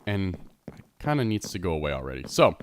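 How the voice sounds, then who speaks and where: A man's voice: sits low, very animated, a little fast, a little hiss or hum. A man with a stuffed-up, congested nose, chatting his way through a tour of a Minecraft build and correcting himself as he goes. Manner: rambling, self-correcting, chatty. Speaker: Minecraft server let's player. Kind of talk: casual gameplay commentary.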